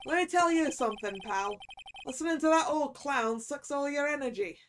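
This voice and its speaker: A woman voicing a gruff, chummy, easily flustered male detective with a rough London accent, reading out a video game. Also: high voice, animated, normal speed, fairly bright tone, slight background noise. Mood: weary griping. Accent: London cockney, slipping towards Scottish. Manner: blustering, ends remarks with "pal".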